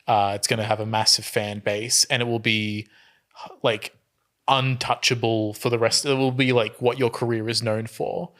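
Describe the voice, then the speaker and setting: A man's voice: mid-range voice, little pitch movement, normal speed, fairly bright tone. A man co-hosting a casual podcast, explaining things in an earnest, rambling way. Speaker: podcast co-host.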